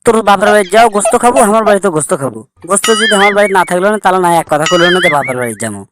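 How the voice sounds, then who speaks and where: A man's voice: sits high, animated, racing, very noisy. A man playing a bossy, bickering village husband in a comic cartoon, with a northern Bangladeshi accent. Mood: scolding, bossy. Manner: scolding, blunt.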